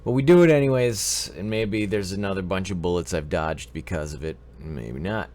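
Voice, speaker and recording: A man's voice: deep voice, normal speed, darkish tone. A man with a stuffy cold hosting a casual live stream, rambling and joking as he greets chat viewers by name. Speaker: livestream advice show host.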